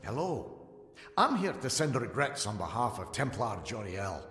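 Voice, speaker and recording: Sly political aide in a fantasy game, pitch swoops all over, long hall echo. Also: male voice, sing-song, normal speed, fairly bright tone. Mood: discreet, conspiratorial. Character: smooth-talking male political aide, discreet and conspiratorial. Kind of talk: scripted fantasy game dialogue. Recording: echoey hall, music behind.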